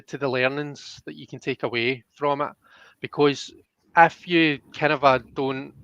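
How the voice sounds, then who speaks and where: A man's voice: mid-range voice, sharp tone, dry recording, dull audio. A man hosting a live tarot reading in a casual, conversational way, checking in with his listeners as he explains.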